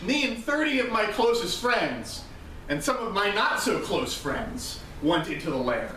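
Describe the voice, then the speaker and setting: A man giving a grandiose in-character speech as a proud, defiant vampire cardinal, with dramatic, emphatic pauses. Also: sits high, sing-song, sharp tone. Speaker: live role-play performer giving an in-character speech. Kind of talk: theatrical oration to a gathering. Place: indoor room at a live role-play gathering. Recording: roomy, distant, noisy live-event recording.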